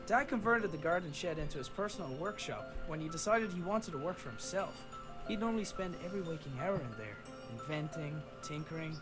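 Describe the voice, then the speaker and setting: A man's voice: on the high side, lively intonation, brisk, close to an everyday voice. An actor playing a wry, reflective father searching for his own dad, narrating an adventure game in the first person. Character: adult son and father, wry inventor's child searching. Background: game music.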